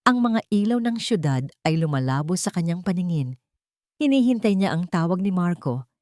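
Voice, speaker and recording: A woman's voice: lowish voice, sing-song, normal speed, darkish tone, no room sound, studio quality. A woman with a Filipino accent narrating a dramatic romance story from a script as a storyteller.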